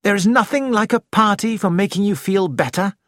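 A reader voicing a frightened young woman who keeps up a brittle, brave front, speaking quickly and shakily. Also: medium pitch, a bit bright, no echo, clean studio sound, voice pushed up, close to an everyday voice.

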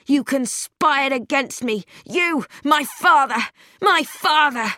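A woman's voice: medium pitch, takes it slowly, a bit bright, dry recording, clean studio sound. A woman voicing a mad, vengeful young man who rants melodramatically in an audiobook. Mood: raging, accusing. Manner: ranting, crying out.

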